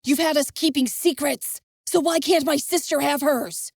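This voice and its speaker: A woman's voice: mid-range voice, fairly even intonation, normal speed, darkish tone, dry recording, clean studio sound, lightly acted. Hot-tempered brother who snaps at others, as a woman reads him. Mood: raging. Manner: snapping, raging. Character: hot-tempered young man, protective brother.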